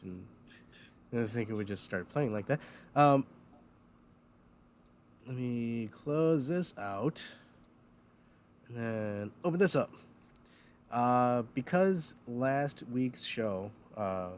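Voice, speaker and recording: A man's voice: medium pitch, lively intonation, slow, pauses often, slight background noise. A man hosting a casual livestream chat, rambling with lots of ums, feeling a bit loopy and foggy. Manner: rambling, many ums and uhs, laughs at himself. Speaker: host.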